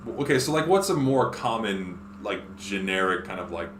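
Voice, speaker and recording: An easygoing man talks in a relaxed chat, often stammering as he gets going. His voice sits on the low side, and the room adds a clear echo with faint ambient noise behind. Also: moderate pace. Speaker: guest streamer. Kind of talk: casual chat on a stream.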